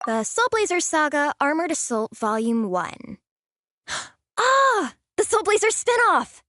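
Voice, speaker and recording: Little girlish companion, very high pitch, feisty, perky, video game voice, dry recording. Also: very animated, slow, sharp tone, dry recording, heavily acted. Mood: thrilled. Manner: perky, dramatic.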